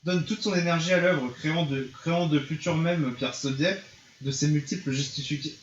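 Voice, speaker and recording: A man's voice: a little high, steady tone, normal speed, slight background noise. Theatrical man in a muffled, roomy recording. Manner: theatrical, stumbles over lines. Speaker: streamer reading a visual novel aloud. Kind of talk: reading game dialogue and narration aloud in a playful let's play. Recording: muffled, roomy, faint music behind.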